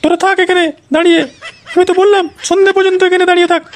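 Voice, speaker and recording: A man's voice: very high voice, level tone, very fast. A man voicing a stubborn, scolding old village grandmother in a comedy cartoon. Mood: defiant. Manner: nagging, defiant. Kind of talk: Bengali comedy cartoon dialogue.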